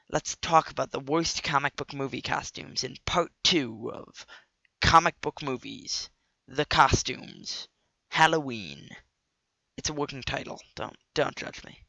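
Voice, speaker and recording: A man with a cold and a nasal voice, hosting a scripted comic commentary video with deadpan, sarcastic asides. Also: on the high side, no room sound, muffled recording. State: sick with a cold. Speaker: video host.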